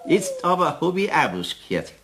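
A peevish Bavarian card player has a high voice that jumps about a lot. He sounds querulous and questioning, in the manner of a children's radio play. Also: sing-song, moderate pace, noisy background. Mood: puzzled. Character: peevish, puzzled Bavarian male card-playing friend.

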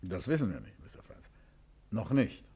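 An actor in a 1950s German radio play as a courteous English gentleman detective, calmly asking polite, measured questions. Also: fairly low pitch, slightly rough, full character voice.

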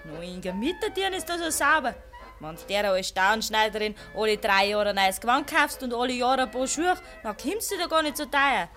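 A woman playing a pert, sharp-tongued young farm maid with a Bavarian accent and a teasing manner in a 1950s radio play. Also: on the high side, lively intonation, moderate pace, soft background music. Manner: teasing, cheeky.

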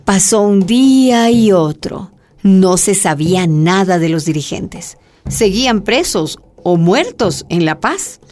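A woman narrates a radio drama. Her medium-pitched voice tells the scripted story dramatically, with faint music behind. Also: animated, takes it slowly, a little mellow.

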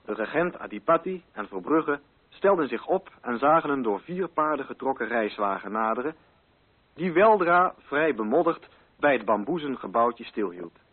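Male narrator for literary radio drama: medium pitch, husky edge, measured formal delivery, slightly muffled archive sound. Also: sing-song.

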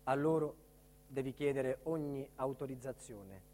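A man's voice: medium pitch, heavy background noise, close to an everyday voice. A male actor playing an embittered protected witness recounting a life in hiding, in a solemn, intense theatre monologue. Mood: somber, haunted. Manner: solemn, intense, deliberate phrasing. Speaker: stage actor and narrator. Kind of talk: dramatic first-person theatre monologue.

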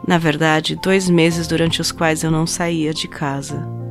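A woman playing an obsessive, coldly rational scientist confessing to murder in a dramatized audio story, with intense, deliberate delivery. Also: deep voice, little pitch movement, faint music behind. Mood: tense, self-justifying. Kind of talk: dramatized first-person audio story narration.

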